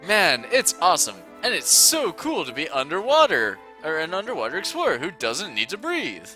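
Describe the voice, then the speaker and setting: A man's voice: on the high side, wildly swooping pitch, normal speed, sharp tone, faint music behind. A man reading a text adventure aloud to a friend in casual playthrough narration, playful and often laughing as he reads.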